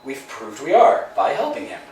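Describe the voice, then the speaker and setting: An actor playing an earnest, exasperated, bossy tramp in a staged play reading, with theatrical, emphatic delivery. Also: male voice, medium pitch, wildly swooping pitch, normal speed, darkish tone. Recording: very echoey room, distant mic, faint noise.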